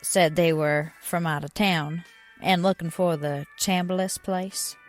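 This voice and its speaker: A woman's voice: fairly low pitch, fairly even intonation, takes it slowly, faint music behind. A woman playing a folksy, teasing small-town diner waitress with sassy, colloquial talk in a radio drama. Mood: concerned.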